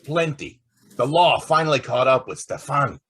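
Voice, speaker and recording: An actor playing a brash, impatient star reporter in an old-time radio drama, with a blustery manner. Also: male voice, medium pitch, animated, moderate pace, fairly bright tone, husky. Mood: eager.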